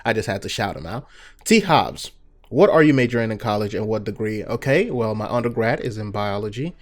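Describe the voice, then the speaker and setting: A young man from Nigeria with a Nigerian accent, hosting a gaming channel and chatting through viewer questions in a relaxed, filler-heavy way. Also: on the low side, brisk. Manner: relaxed, chatty, many fillers and "you know"s. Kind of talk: casual Q&A talk to viewers.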